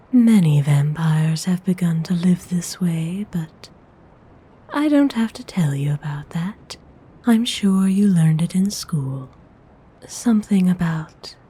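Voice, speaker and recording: A woman voicing a centuries-old, courteous and possessive female vampire noble in an intimate, formal ASMR roleplay, whispering in a husky, breathy voice. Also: lowish voice, dark tone, full character voice. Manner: slow, measured, formal, intimate.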